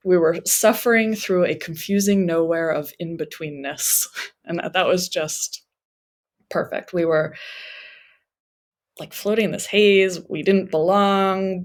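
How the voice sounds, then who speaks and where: A woman speaking as an interview guest, telling personal stories conversationally and voicing remembered remarks as she goes. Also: low voice. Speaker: interview guest, travel memoir author.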